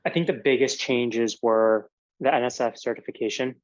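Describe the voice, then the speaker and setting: A man's voice: medium pitch, moderate pace, dry recording, muffled recording. A man whose first language is Italian, a physician guest in a casual podcast interview, explaining earnestly in long runs with fillers.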